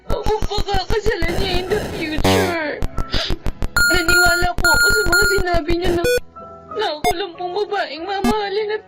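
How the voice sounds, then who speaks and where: Very high, crying young Filipina. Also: female voice, sing-song, moderate pace. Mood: tearful. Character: naive, heartbroken young woman, crying. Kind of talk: Tagalog radio drama acting. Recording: loud music behind the voice.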